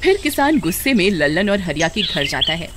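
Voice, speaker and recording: A woman's voice: lowish voice, big pitch swings, a little fast, a bit bright, a little hiss or hum. A woman giving scripted narration for a cartoon folk tale.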